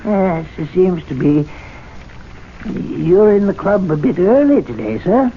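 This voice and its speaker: A timid club attendant who mutters and schemes, his voice swinging widely in pitch and sitting a little high. It comes through a dull, hissy old radio broadcast. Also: measured pace, full character voice. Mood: insolent. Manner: excitable, muttering, plotting aloud. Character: meek club attendant, middle-aged man, scheming. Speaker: lead actor in radio drama. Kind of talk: 1970s radio drama acting.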